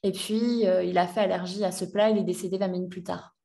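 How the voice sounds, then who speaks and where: A woman's voice: lowish voice, steady tone, fairly quick, gravelly, dark tone. Hesitant young woman, slightly distant mic. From France. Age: 20s. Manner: hesitant, many fillers and restarts. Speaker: guest. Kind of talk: personal story in a podcast interview.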